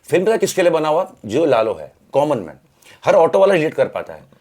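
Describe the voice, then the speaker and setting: Very fast-talking man explaining a story. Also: on the high side, very animated, darkish tone. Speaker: interview guest from the film industry. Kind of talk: animated storytelling in casual conversation.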